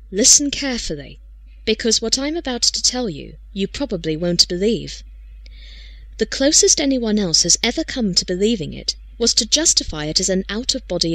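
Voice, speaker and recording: A woman's voice: mid-range voice, fairly bright tone, dry recording. A woman reading first-person fiction as an audiobook storyteller.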